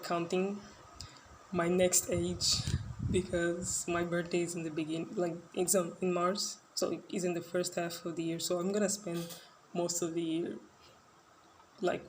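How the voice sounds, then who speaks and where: A woman's voice: on the low side, a little mellow, slight background noise, poor audio. A woman in her twenties talking in a casual solo podcast monologue, rambling and hesitant with many fillers and restarts. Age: 20s. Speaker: podcast host.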